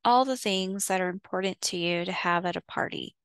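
A woman leading a guided visualization in a soothing, instructional way, pausing between short phrases. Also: lowish voice, fairly bright tone, clean tone, no echo. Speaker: guided meditation host. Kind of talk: guided visualization, meditation narration.

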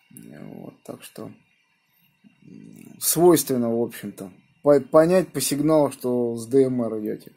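A man from the region, chatting casually as an amateur radio operator in an unhurried, rambling way. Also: medium pitch, mellow, slightly rough. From Moscow region, Russia. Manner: unhurried, rambling, spelling out callsigns phonetically. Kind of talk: casual ham radio contact.

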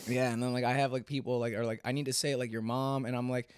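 A man's voice: medium pitch, flat delivery, fast talker, clear, resonant tone, dry recording, studio quality. A man hosting a podcast in casual chat, laid-back, laughing easily and swearing offhandedly. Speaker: podcast host.

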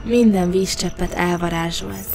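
A woman narrator reading a scripted fairy tale for an animated story. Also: on the low side, vocal fry, faint music behind.

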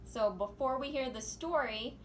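A woman's voice: sits high, measured pace. A woman in her thirties presenting storytime to young children with simple, instructive phrasing. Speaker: children's librarian and storytime host. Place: small room at home, indoors. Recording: home video with faint background noise.

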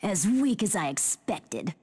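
A woman's voice: medium pitch, big pitch swings, measured pace, mellow, husky, big-room sound. An actress playing a fierce female fighter who taunts opponents in haughty, theatrical battle lines for a video game. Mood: cruel, disdainful. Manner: haughty, theatrical, clipped declarations.

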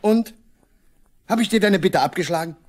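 An actor playing a bossy, exasperated village official in a 1970s East German radio drama, interrogating and blustering. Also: male voice, sits high, normal speed, a little mellow. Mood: stern.

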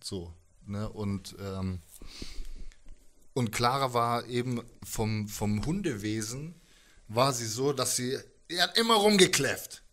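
A middle-aged man telling a personal story as an interview guest, thoughtful and halting, in colloquial words. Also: medium pitch, lively intonation, a bit bright. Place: indoors, living room with instruments. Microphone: handheld microphone held close.

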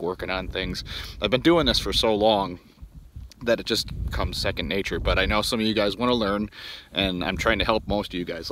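A man's voice: on the low side, bright, forceful tone, slight background noise. A man in his thirties from Wisconsin, talking casually to viewers from a phone held at arm's length outdoors on snow, rambling with many ums and restarts. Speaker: outdoors channel host. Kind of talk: casual vlog walkaround. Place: outdoors on snowy frozen lake.

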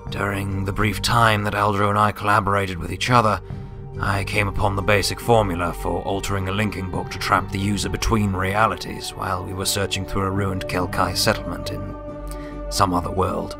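A man voicing a grave, scholarly old male wizard pony reading out his journal in a formal, deliberate, subdued manner. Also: low voice, level tone, creaky, over music. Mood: deliberate explaining.

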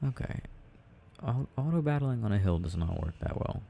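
A man with a mellow, gravelly voice rambles through a video game in an ASMR style. He muses to himself haltingly and takes his time, with slight noise behind him. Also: medium pitch, lively intonation. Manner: murmured, halting, musing to himself. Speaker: audio performer playing a boyfriend gaming.

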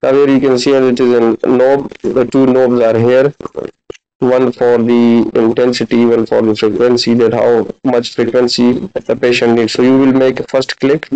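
A man in his thirties giving a halting, instructional practical demonstration as a physiotherapy teacher. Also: medium pitch, little pitch movement, warm tone, clear, resonant tone. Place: large indoor classroom clinic room. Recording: dull, lo-fi camera audio.

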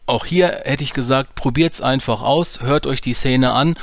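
A man's voice: mid-range voice, moderate pace, no room sound. Casting: man, dry close voice, casual tutorial explainer with fillers. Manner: relaxed, explanatory, many fillers. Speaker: audio drama producer teaching editing. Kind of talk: screen-recorded software tutorial.

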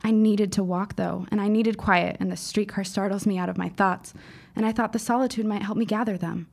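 A woman's voice: mid-range voice, fairly even intonation, brisk, vocal fry, darkish tone. An actress playing a precocious fifteen-year-old girl in an audio drama, speaking in formal, dramatic phrases. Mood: earnest, dramatic. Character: precocious, earnest fifteen-year-old girl writer, shaken but insistent.

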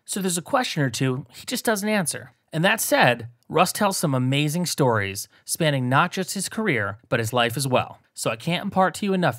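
A man hosts his podcast with an upbeat, lightly jokey manner. His voice sits at an ordinary pitch. The recording is dry and close. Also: fairly bright tone, dry recording. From New Jersey. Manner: enthusiastic, jokey host delivery. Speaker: podcast host. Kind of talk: podcast introduction and chat.

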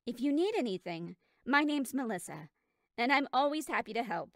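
A woman's voice: a little high, animated, moderate pace, a bit bright, no room sound, voice pushed up. A woman voicing a sweet, earnest young cartoon girl with a girlish manner, recorded in a studio. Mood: friendly, eager. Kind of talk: character voice reel, cartoon acting. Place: treated home studio. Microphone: studio condenser microphone.

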